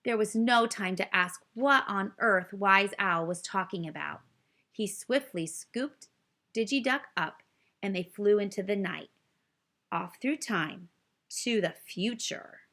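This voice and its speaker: A woman reading a children's story aloud, expressive and addressing young listeners directly as she narrates. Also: medium pitch, slow. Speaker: storybook reader.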